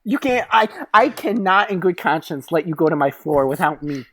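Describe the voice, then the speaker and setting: A woman's voice: fairly low pitch, animated, normal speed, fairly bright tone, slight background noise. A woman improvising a chatty, dim but well-meaning female landlord in a comedy scene, rambling and justifying herself in a literal-minded way. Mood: earnest.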